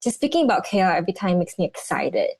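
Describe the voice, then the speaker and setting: A young woman, a dietitian answering interview questions, bubbly and halting, with many restarts. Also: fairly low pitch, big pitch swings, gravelly, darkish tone, slightly rough, dry recording. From Malaysia. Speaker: guest, clinical dietitian.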